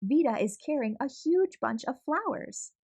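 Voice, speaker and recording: A woman reading a children's picture book aloud with an expressive storytelling manner. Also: sits high, a little slow, husky.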